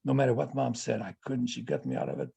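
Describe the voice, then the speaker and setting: An elderly man in his eighties, originally from France and a native French speaker, answers audience questions with personal memories. His voice is warm and husky. He talks haltingly, stopping often to restart and fill with "yeah". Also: mid-range voice, steady tone. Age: 80s.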